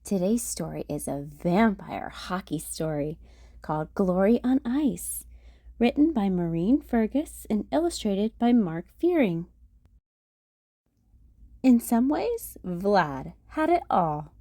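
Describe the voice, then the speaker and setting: A woman hosting a children's storytime, reading a picture book aloud with an expressive, sing-song delivery. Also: mid-range voice, no echo, clean studio sound.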